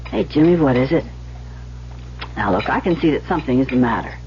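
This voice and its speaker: An actress playing a shy, lonely, devoted assistant in love in an old radio drama, with a hesitant, earnest delivery. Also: female voice, extremely low pitch, expressive, brisk. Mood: concerned. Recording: dull, hissy vintage radio recording with hum.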